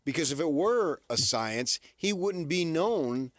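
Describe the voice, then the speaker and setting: A man hosting sports talk radio, casually musing in halting, repeated phrases for emphasis. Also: a little high, lively intonation, no echo, clean studio sound.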